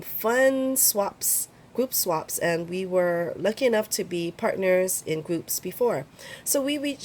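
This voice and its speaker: Congested woman with a gravelly voice. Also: medium pitch. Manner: chatty, rambling, many ums. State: congested with a cold. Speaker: crafting YouTuber. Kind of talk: casual show-and-tell vlog.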